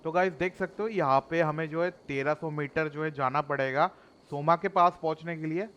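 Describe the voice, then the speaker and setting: A man's voice: on the high side, racing, a bit bright, faint music behind. A man with an Indian accent giving casual commentary as he plays a video game. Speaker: gamer, video host. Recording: clear game noise and clicks behind.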